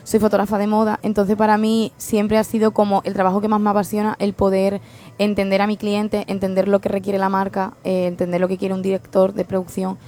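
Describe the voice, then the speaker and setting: Woman, fashion photographer guest, earnest and measured, flat delivery. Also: mid-range voice. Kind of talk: radio interview.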